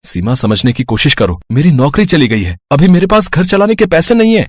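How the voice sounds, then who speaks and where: A man playing a harried young husband in an animated moral story, earnest and placating. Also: on the high side, brisk, slightly rough, no room sound, clean studio sound. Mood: placating, pleading.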